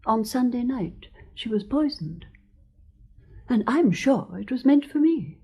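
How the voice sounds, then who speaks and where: A dainty old widow in a radio play, anxious but genteel. Her voice is mellow and husky, with lively swings in pitch as she tells her story precisely. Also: a little slow, exaggerated voice. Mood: earnest. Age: older. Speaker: witness. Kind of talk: radio drama acting.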